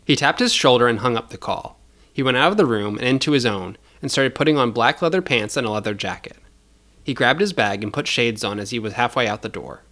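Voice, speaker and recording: A man's voice: medium pitch, animated, brisk, a bit bright. A man narrating scripted audio fiction as the storyteller.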